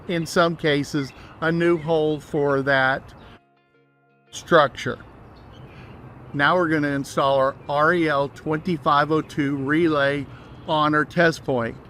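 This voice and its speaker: Male instructor, slightly high voice, plain step-by-step hands-on walkthrough, faint outdoor background noise. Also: fairly bright tone.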